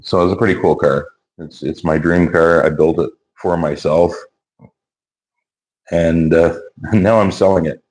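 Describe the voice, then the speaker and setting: A hoarse man chatting casually on his vlog as a YouTuber and car builder. He rambles in an easygoing way, restarting his sentences, into a wireless clip-on mic. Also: low voice, fairly even intonation, a little slow, pauses often, a little mellow, low-quality recording.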